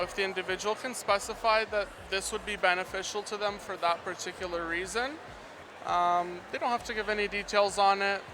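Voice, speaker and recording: A man who breeds geckos answering interview questions at an expo in a hesitant, soft-spoken way with lots of fillers. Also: high voice, noisy background. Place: busy reptile expo hall.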